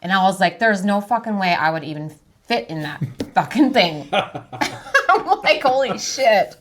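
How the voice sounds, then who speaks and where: A woman's voice: mid-range voice, very animated, fairly bright tone. A woman hosting a casual podcast interview in an informal, easygoing conversational way. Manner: informal, quick to laugh and agree.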